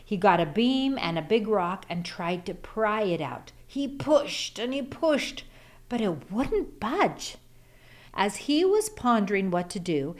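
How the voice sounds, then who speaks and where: A woman reading a children's picture book aloud as an expressive storyteller. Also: mid-range voice.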